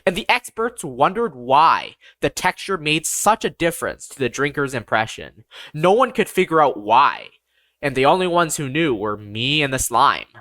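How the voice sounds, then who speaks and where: A narrator of ambiguous-sounding gender reading a first-person fantasy story in a measured, deliberate, expressive way. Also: medium pitch, no echo. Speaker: audiobook narrator.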